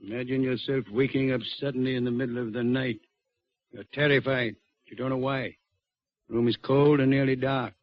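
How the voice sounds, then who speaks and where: A man's voice: mid-range voice, little pitch movement, a little fast, lo-fi sound, lightly acted. Man playing a wheezy, pompous radio detective. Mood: hushed, dramatic. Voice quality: wheezy, chuckling. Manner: pompous, oratorical, emphatic. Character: huge, wheezy, theatrical old-school doctor of crime detection. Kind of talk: old-time radio acting. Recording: band-limited old radio broadcast.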